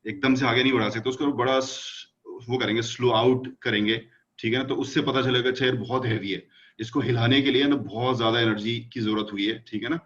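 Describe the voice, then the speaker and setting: A man's voice: medium pitch, fairly even intonation, a little fast, a bit bright, dull audio. A man explaining animation with everyday examples, full of casual fillers. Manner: talkative, fillers like "matlab" and "achha yaar".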